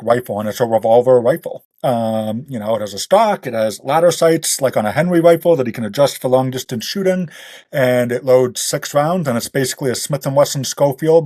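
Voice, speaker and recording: A man's voice: medium pitch, a little mellow, no echo, studio quality. A man talking as a guest author, explaining details step by step in an informal podcast chat.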